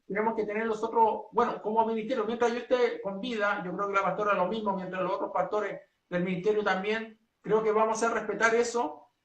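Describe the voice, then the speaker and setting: A man from Chile, a pastor, setting out his church's principles in an earnest, measured way with many hesitations. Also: high voice, reverberant, far from the mic, poor audio. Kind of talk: doctrinal statement in a two-way talk.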